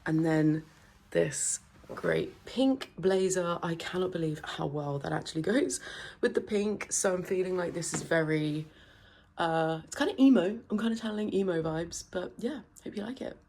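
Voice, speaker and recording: A woman's voice: fairly low pitch, moderate pace, a little mellow, a little hiss or hum. A woman, a vlogger and public speaker, talking casually and cheerfully to camera with plenty of "like" and "literally". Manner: chatty and upbeat, fillers like "like" and "literally". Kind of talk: casual to-camera vlog chat.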